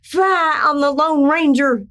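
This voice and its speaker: A woman's voice: high voice, slow pace, fairly bright tone. A woman playing a sly, folksy older outlaw leader who poses as a churchgoer in an old-time western radio drama. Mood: bitter defiance. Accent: Irish brogue, later Texan. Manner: indignant, theatrical, folksy.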